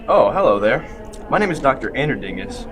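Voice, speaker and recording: A man's voice: mid-range voice, sing-song, brisk, fairly bright tone. A man playing a casual, blunt hospital doctor in a student radio play. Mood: breezy. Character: breezy, offbeat male hospital doctor.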